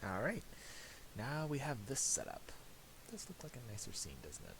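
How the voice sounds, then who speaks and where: A man narrating a casual screen-recorded software tutorial, halting and thinking aloud as he goes. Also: medium pitch, huge pitch swings, gravelly. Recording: hissy home recording with clicks.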